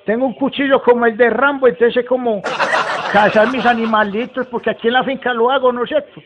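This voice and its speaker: A man's voice: extremely high pitch, fairly even intonation, brisk, music in the background. A man voicing a rustic, foul-mouthed, agitated 78-year-old from Antioquia, Colombia, in a folksy, rambling prank phone call. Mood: insistent. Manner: folksy, rambling, swearing, repetitive. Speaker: prank caller. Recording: muffled, very noisy phone recording with clicks.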